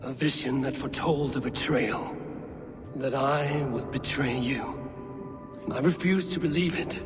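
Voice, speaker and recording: A man's voice: medium pitch, natural voice. Male actor as an earnest Viking warrior, long reverb. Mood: remorseful. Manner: measured, solemn, poetic phrasing. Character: earnest, remorseful Viking warrior, loyal younger brother. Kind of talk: dramatic game cutscene acting. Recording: long hall reverb, loud music.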